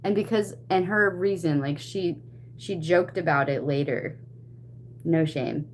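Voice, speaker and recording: A woman chatting casually to stream viewers, retelling a personal story in an animated, expressive way. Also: lowish voice, a little slow, slight background noise. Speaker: livestream host.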